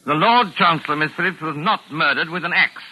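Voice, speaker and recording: An actor playing a pompous, touchy mystery novelist with precise, exasperated formal diction, in 1940s radio drama style. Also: male voice, fairly high pitch, fairly quick, bright, forceful tone, poor audio. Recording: raspy vintage 1940s radio recording.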